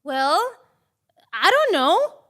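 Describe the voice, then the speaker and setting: A woman plays a flustered, bossy plotter in a stage comedy. Her voice is extremely high and bright, drawn out slowly with big swoops. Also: very animated, exaggerated voice. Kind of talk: comic stage play acting before a live audience.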